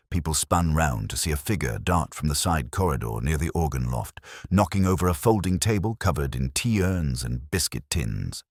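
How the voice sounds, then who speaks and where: A man with an extremely deep, slightly rough voice narrates a scripted mystery. His delivery is measured and literary, with lively movement in pitch, recorded dry and close. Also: no room sound. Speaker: audiobook narrator.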